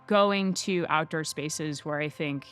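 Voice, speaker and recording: A woman's voice: deep voice, slow pace, a bit bright, faint music behind. A young adult woman speaking reflectively in a documentary interview. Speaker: interviewee, daughter.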